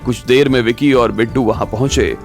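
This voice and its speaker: A man's voice: mid-range voice, fairly even intonation, a little fast. A man narrating a scripted audio story as its storyteller. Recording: music bed behind the voice.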